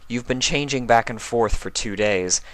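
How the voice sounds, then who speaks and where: A regal pony princess from a cartoon fan story, put on by a man. She is calm, formal and gently cautioning. The tone is bright, with a dry recording. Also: medium pitch, normal speed, dry recording, pitched above the performer's own voice, natural voice.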